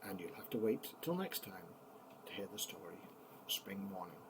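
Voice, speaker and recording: An older man, a grandfather, reading children's poems aloud in an expressive, theatrical bedtime style. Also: low voice, normal speed, noisy background.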